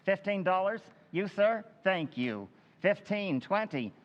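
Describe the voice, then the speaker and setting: A man's voice: high-pitched, lively intonation, muffled recording. A man playing a declaiming town chairman in an echoing hall. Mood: brisk auctioneering. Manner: declamatory, brisk auctioneer patter. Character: pompous small-town meeting chairman and auctioneer. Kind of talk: old-time radio drama recreation.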